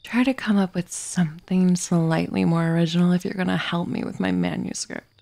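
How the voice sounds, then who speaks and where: A woman playing an obsessive, vengeful murderous writer in an ASMR roleplay, sardonic and menacing, slipping into breathy whispers. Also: fairly low pitch, steady tone, a little fast, a little mellow, no room sound. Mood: mocking. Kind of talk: ASMR roleplay, dramatic monologue.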